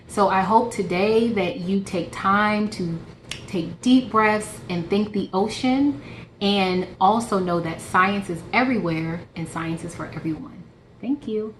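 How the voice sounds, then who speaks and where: A woman's voice: mid-range voice, unhurried. A woman giving a simple, explanatory educational talk for children, as a guest scientist. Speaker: guest marine microbiologist. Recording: roomy echo with background noise.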